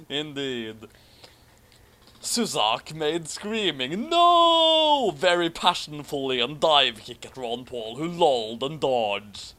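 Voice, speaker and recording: A man's voice: fairly high pitch, expressive, slow, fairly bright tone. A man co-hosting a casual commentary, reading a story aloud theatrically and adding dry, sarcastic asides.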